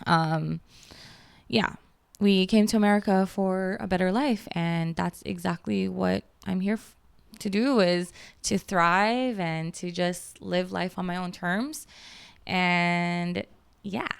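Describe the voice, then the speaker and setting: Filipino woman in her 30s just chatting on her podcast, bit of vocal fry, smooth clear voice, lots of likes and ums. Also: on the low side, fairly even intonation, slow pace, no echo. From Philippines. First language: Filipino language. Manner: chatty, lots of "like" and "um", laughs at herself. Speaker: podcast host. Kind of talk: casual solo podcast chat.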